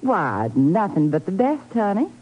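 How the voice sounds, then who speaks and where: Husky, lilting Southern belle. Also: female voice, mid-range voice, big pitch swings, a little slow. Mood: sly, feigned innocence. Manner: drawling folksy endearments. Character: flirtatious, folksy, deceptively sweet woman receptionist. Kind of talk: 1950s radio drama acting. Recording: muffled old radio recording.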